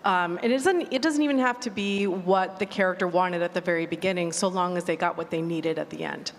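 Woman answering on a panel, slightly low voice, echoey hall, faint noise. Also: a little fast. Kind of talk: panel discussion, brief answer.

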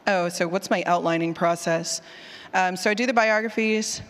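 A woman's voice: lowish voice, moderate pace. A woman giving a casual, chatty workshop talk and taking questions, informal and self-deprecating, with a ready laugh. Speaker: conference speaker on audio drama writing. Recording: echoey hall with long reverb.

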